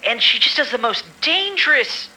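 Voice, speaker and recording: A man's voice: very high-pitched, big pitch swings, normal speed, pushed and bright, husky, heavily acted, low-quality recording. A man playing an exasperated medical staffer in an audio drama, reading patient files formally with weary, grumbling asides. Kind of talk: amateur audio drama acting.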